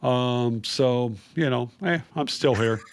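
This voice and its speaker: A man appearing as a writer guest on a podcast, speaking in a wry, halting way with many fillers. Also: medium pitch, smooth. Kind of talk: casual conversational interview.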